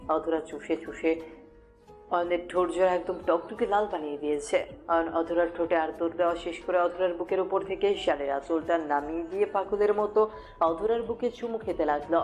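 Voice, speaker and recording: A woman's voice: fairly low pitch, darkish tone. A woman narrator reading a scripted romantic story in the third person. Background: music.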